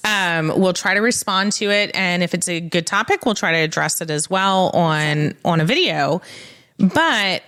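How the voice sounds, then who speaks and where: A woman's voice: lowish voice, animated, moderate pace, a bit bright, clear, resonant tone. A woman hosting a legal podcast as a trial attorney, telling stories in a casual, conversational way and repeating phrases for emphasis.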